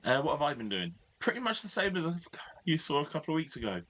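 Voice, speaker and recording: A hoarse man with a British accent in a casual hobby chat, halting and jokey as his voice recovers. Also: a little high, sing-song, fairly bright tone. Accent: British English. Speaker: podcast co-host.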